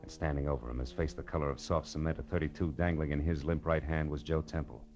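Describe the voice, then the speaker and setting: A hard-boiled radio private eye with a low, deep voice. He is wry, clipped and commanding, in 1950s noir style. Music sits behind the old recording. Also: steady tone, fast, a little mellow. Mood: brooding narration.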